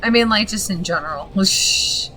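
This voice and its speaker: A woman streaming a game, chatting casually with viewers and reading game text aloud; her voice is gravelly and she swears freely. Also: medium pitch, moderate pace, bright, forceful tone, slight background noise, low-quality recording. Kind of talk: casual gaming stream commentary.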